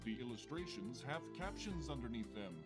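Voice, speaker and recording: Adventure game narrator, male actor: wry, deliberate, scripted delivery, clear music underneath. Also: medium pitch, animated, lightly acted. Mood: wry, explanatory. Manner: deliberate, storytelling, dryly humorous.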